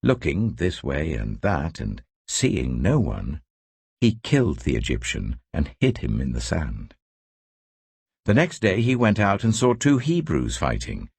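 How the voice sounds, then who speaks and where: A man reading scripture as a dramatic scripted narration, telling the story in a measured, expressive way. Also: rumbling low, creaky, breathy edge, no room sound. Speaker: Bible narrator.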